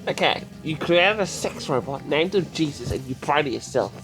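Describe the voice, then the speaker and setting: A man's voice: fairly high pitch, animated, moderate pace, over music, rough recording. A man making teasing, casual banter as a co-host. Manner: teasing, needling.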